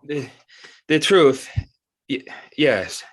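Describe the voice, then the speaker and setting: A man playing a flattering, deferential court artist who speaks in a formal, halting way in an audio drama. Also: a little high, full character voice, low-quality recording. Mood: flustered, pleading. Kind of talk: theatrical audio drama acting.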